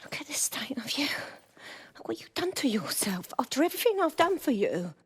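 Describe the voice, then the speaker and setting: An actress playing a ruthless female London crime boss in a video game, with a husky voice and a mock-tender, condescending manner. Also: high-pitched, big pitch swings, brisk. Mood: scornful, menacing. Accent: English, London. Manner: mock-tender, calls people love and sweetheart. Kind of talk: dramatic video game acting.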